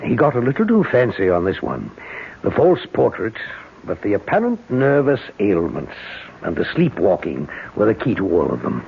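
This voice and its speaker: An actor playing a shrewd, fatherly police inspector with a husky voice in an old radio drama. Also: lowish voice, wildly swooping pitch, normal speed, heavily acted. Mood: fatherly, consoling. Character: shrewd, fatherly Scotland Yard inspector, male, protective. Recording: tinny old radio recording.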